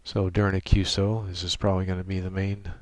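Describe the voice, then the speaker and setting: A man giving an informal, matter-of-fact technical software walkthrough as he demonstrates a setup. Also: low-pitched, little pitch movement, fast talker, dry recording. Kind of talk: informal screen-share tutorial narration. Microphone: desktop microphone monitored through computer audio. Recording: clearly noisy home microphone recording.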